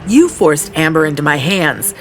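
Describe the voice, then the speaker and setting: A woman's voice: low-pitched, big pitch swings, moderate pace, low-quality recording. A blunt lady boss at a magazine, firm and stern, audio drama with music under it. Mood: angry, reproachful. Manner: firm, reproachful, authoritative.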